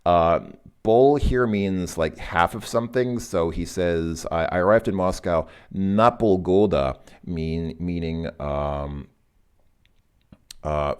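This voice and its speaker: A man's voice: sits low, clean tone. A man teaching a casual lesson, thinking aloud with many fillers and corrections. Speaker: language teacher. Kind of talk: casual recorded lesson explanation.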